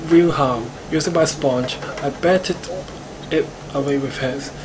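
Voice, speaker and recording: A man reading visual novel narration aloud on a live stream, haltingly and with stumbles. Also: fairly high pitch, heavy background noise. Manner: halting, stumbling over the script.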